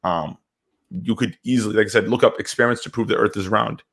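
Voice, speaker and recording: A fairly low-pitched man in his twenties, from Syria and Canada, talks casually in a live discussion. He rambles and feels his way through points, often restarting and repeating words. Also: steady tone, fast talker, fairly bright tone. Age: 20s. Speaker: podcast co-host.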